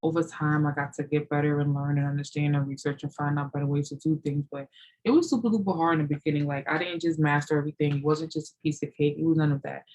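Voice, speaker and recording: A woman's voice: low-pitched, fairly even intonation, fast, a little mellow, poor audio. Rambling woman in her late thirties. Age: 30s. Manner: rambling, full of "you know" and "like". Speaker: fitness vlogger. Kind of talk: casual Q&A chat.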